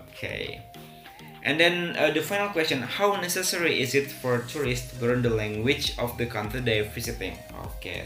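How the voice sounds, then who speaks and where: Indonesian guy talking thru ielts speaking answers, lots of uhs, mid pitch, roomy echo, soft music under it. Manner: hesitant, many "uh" fillers, informal and friendly. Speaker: English teacher. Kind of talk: IELTS speaking practice monologue. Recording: echoey room with faint music.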